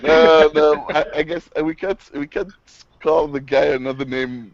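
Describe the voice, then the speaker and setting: A man's voice: fairly high pitch, fairly even intonation, a little slow, dry recording. A man chatting casually on a video call, loose and restarting, laughing easily. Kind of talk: casual chat. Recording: dry dull online call audio.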